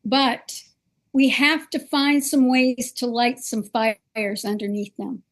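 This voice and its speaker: Slow, high-voiced woman political host. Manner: earnest, emphatic, slow and deliberate. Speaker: political talk show host. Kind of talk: conservative political talk.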